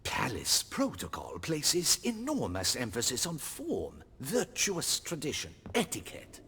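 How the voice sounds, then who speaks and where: An actor playing a haughty, fussy master tailor in a fantasy video game, pompous and prim. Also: male voice, mid-range voice, wildly swooping pitch, sharp tone, slightly rough, in a large hall, exaggerated voice. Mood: lofty. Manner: pompous, prim, slow and affronted.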